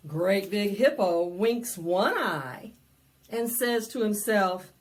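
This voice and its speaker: A woman's voice: medium pitch, expressive, takes it slowly, a little hiss or hum. A woman hosting a preschool storytime, reading a picture book aloud in a sing-song, dramatic way and asking the children questions. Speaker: library storytime host. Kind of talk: animated preschool read-aloud with singing.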